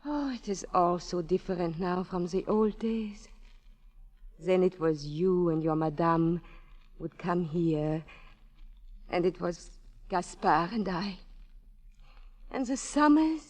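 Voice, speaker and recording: An actress playing a lonely, middle-aged widowed innkeeper, gentle and formal, in a 1940s radio drama. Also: female voice, fairly low pitch, slow pace, pauses often, no room sound. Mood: wistful, concerned. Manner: wistful, formal, careful phrasing.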